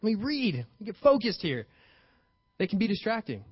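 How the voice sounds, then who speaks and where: A young man preaching with a high, bright-edged voice, pushing into sudden bursts of emphasis and playful acted-out lines. The recording is dry and a little dull, as if captured without much room sound on modest gear. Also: normal speed, husky, no room sound. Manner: animated, acts out paraphrased dialogue with playful emphasis. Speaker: pastor. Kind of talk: sermon.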